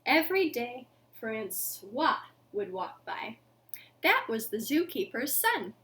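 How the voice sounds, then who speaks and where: A young woman hosting a children's storytime, speaking to little ones in an animated, deliberate storytelling manner. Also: a little high. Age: young adult. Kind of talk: children's storytelling and action songs. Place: living room at home.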